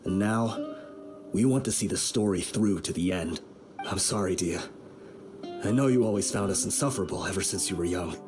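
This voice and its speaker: A grave desert outlaw leader from a video game, acted by a man. He is vengeful and guilt-ridden, telling his tale in a fairly low voice. Music plays clearly underneath. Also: natural voice. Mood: rueful. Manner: solemn, deliberate storytelling. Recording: music behind the voice.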